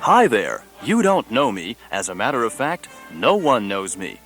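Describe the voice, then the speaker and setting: An actor in a satirical radio play voicing the wry, lonely ghost of an unknown soldier, confiding directly in the listener. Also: male voice, fairly low pitch, wildly swooping pitch, measured pace, over music, exaggerated voice.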